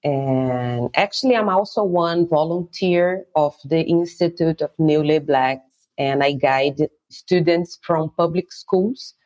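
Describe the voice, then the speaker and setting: A Brazilian woman guide speaks in slow, unhurried English, pausing to search for words as she explains. Her voice sits a little low for a woman. She talks in a measured, explanatory way. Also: darkish tone. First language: Portuguese. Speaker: tour guide. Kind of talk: interview guest explaining history.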